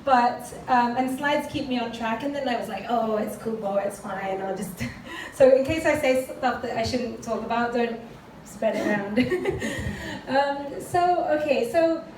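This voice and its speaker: A woman with a British accent gives a personal talk, speaking candidly with plenty of fillers and small laughs. Her voice sits a little high. She is picked up from a distance in an echoey room with clear background noise. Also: a little slow. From United Kingdom. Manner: chatty and candid, frequent fillers, light laughs. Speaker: speaker giving a talk with slides. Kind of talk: conference talk, personal story.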